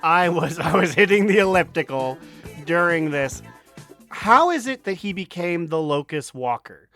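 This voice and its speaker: A man's voice: on the high side, lively intonation, moderate pace, fairly bright tone, faint music behind. A man co-hosting a podcast, chatting casually in a joking, crude, loose way. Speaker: podcast co-host.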